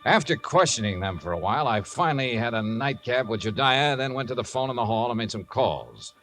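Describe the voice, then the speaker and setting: Skeptical, wisecracking male sleuth, mid-range voice with lively swings, fifties radio drama, faint music. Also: mid-range voice, brisk. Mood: wry narration. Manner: dry, wisecracking. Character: wry, skeptical male insurance investigator narrating his case. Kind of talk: 1950s radio drama narration and dialogue. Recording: vintage 1950s radio broadcast.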